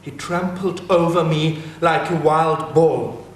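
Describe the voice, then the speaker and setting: A man reading the part of a fierce, troubled wild hero in a dramatic reading, slow and measured. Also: a little high. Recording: echoey room with faint ambience.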